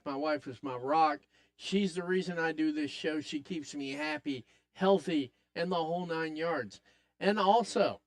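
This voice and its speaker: A man with a raspy voice hosting a live wrestling watch-along podcast, excitedly calling the action and chatting with viewers. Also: sits high. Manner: excitable, hypes up the action, talks to chat. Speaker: podcast host.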